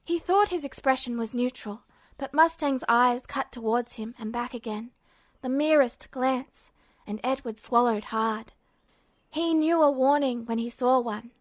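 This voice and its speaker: Woman podfic reader, steady storytelling, fairly high voice, dry close sound. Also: no room sound. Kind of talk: scripted narration of fan fiction.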